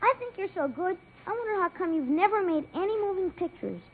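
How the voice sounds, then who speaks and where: Very high-pitched cheeky little boy. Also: expressive, a little fast. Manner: slangy, gushing. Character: eager, cheeky ten-year-old boy autograph hunter. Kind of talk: 1950s radio comedy acting. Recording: tinny, hissy 1950s radio recording.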